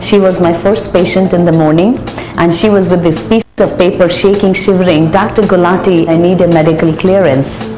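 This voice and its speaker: A woman's voice: on the low side, fairly even intonation, moderate pace, music in the background, low-quality recording. A woman doctor describing a patient in an interview, in a matter-of-fact, clinical way. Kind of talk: interview recollection.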